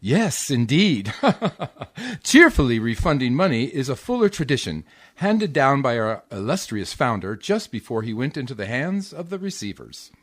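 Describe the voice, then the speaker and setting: A man's voice: mid-range voice, big pitch swings, normal speed. A man playing an officious, falsely genteel department store clerk in a 1940s-style radio comedy, fussy and pompous. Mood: oily, cheerful.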